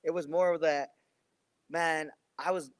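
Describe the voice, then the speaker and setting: A man's voice: on the high side, steady tone, unhurried, no room sound. A young man in his twenties, a boxer, answering interview questions in a loose, rambling way with many restarts. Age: 20s. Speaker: professional boxer.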